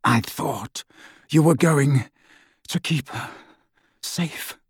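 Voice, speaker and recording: Audiobook role: bitter, anguished wizard in middle age man performing, husky, intense and contemptuous, very dry sound. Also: fairly high pitch, big pitch swings, a little slow, no room sound, full character voice. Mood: broken, grieving. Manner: tormented, clipped, contemptuous delivery.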